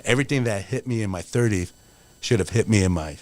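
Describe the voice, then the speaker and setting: Earnest mid-forties wrestler, lowish voice. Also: male voice, fast. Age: 40s. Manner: earnest, motivational, rambling with many fillers. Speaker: pro wrestler guest. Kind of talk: casual podcast interview.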